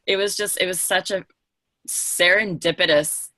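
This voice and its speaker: A woman's voice: on the low side, steady tone, a little slow, bright, forceful tone, dry recording. A woman chatting casually and candidly as a podcast panelist, with an emphatic, informal and gushing way of talking. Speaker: podcast panelist and book editor.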